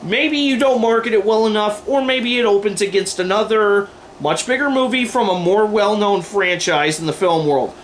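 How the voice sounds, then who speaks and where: A man's voice: sits high, normal speed, few pauses, slight background noise. A man delivering opinion commentary to camera in an emphatic, wordy, informal way, like a host going through a list. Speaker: video host and aspiring filmmaker.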